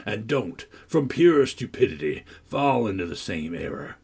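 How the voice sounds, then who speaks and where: Man playing a brooding villain, deep husky voice, sweeping intonation, sneering, audiobook. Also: very animated, measured pace, darkish tone, heavily acted. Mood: coaxing. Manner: sneering, coaxing and threatening. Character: menacing, brooding older man, a manipulative villain.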